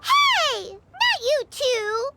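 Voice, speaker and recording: A woman voicing a tiny, indignant, childlike fairy companion with a squeaky, exclamatory delivery in an anime game. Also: wildly swooping pitch, very slow, very noisy, full character voice.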